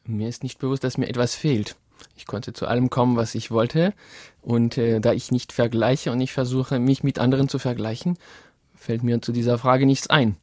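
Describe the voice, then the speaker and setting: A young man from France with a French accent, speaking German as a guest in a radio interview, calm and formal. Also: mid-range voice, dry recording. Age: young adult. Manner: calm, measured, formal phrasing. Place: radio studio.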